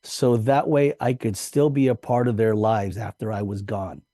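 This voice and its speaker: A man's voice: lowish voice, fairly even intonation, moderate pace, warm tone, dry recording, clean studio sound. A man as a podcast guest, telling a personal story in an earnest, reflective way with light laughs.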